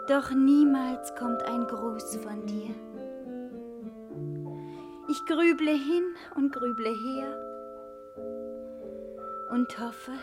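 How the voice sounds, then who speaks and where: A woman performing a wistful radio play theme song, moving between singing and speaking. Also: fairly high pitch. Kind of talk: sung theme song with spoken refrains. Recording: guitar music behind the voice.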